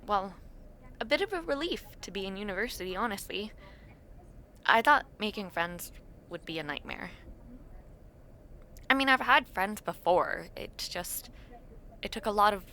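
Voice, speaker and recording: A woman's voice: medium pitch, bright, forceful tone, slight background noise. A woman playing an awkward, imaginative young university dormmate in a casual, intimate audio roleplay, chatting in a hesitant, self-deprecating way. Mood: shy, reflective.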